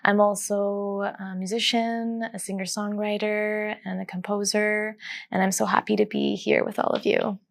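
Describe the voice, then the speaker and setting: A woman in her thirties introducing herself on a panel in a calm, even way. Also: mid-range voice, normal speed, vocal fry. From Canada. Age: 30s.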